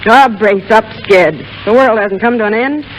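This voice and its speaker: A woman's voice: on the high side, normal speed, close to an everyday voice. 1930s radio rival: scheming showgirl woman acting, American, pointed, slangy, jealous, light background hiss. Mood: jealous, dismissive. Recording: faintly noisy 1930s radio recording.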